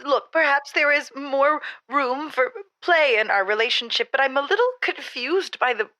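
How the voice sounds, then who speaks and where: A woman's voice: high-pitched, normal speed, bright, forceful tone, no room sound. A woman playing an indignant, exasperated wife phoning a love-advice show in a comedy audio drama. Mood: indignant, incredulous. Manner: incredulous, indignant, emphatic.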